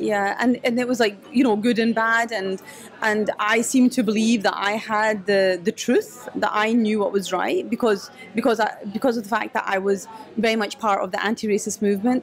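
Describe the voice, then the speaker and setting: A woman answering interview questions in a cafe, thoughtful and hesitant, with many restarts. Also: medium pitch. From Scotland. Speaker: guest, photographer and activist.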